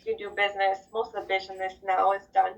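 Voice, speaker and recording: A woman's voice: mid-range voice, fast, gravelly. Distant lo-fi woman, slight Spanish accent. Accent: slight Spanish accent on certain words. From United States. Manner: relaxed, chatty, many fillers. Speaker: interviewee, employee of a global company. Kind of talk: casual interview answers. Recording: distant, dull lo-fi sound.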